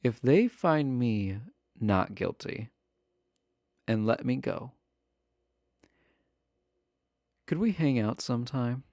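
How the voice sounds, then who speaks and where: A man's voice: medium pitch, expressive, slow, warm tone, no room sound, clean studio sound. A man playing a charming, sly villain prisoner in an intimate roleplay audio, talking in a coy, flirtatious, casual way. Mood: flirty, teasing.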